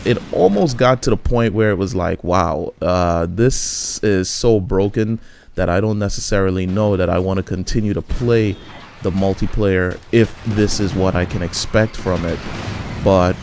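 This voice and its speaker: A man's voice: fairly low pitch, background noise. A man giving casual, rambling commentary over his own gameplay, often restarting his sentences. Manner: rambling, frequent restarts and fillers.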